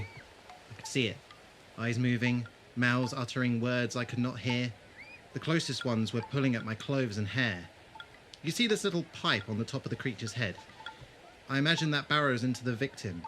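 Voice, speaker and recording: An actor playing a grave, resolute adventurer in an audio drama, explaining things deliberately and intensely. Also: male voice, medium pitch, a bit bright, lightly acted. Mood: haunted, intense. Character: grave, resolute adventurer leading his companions. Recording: clearly noisy background ambience.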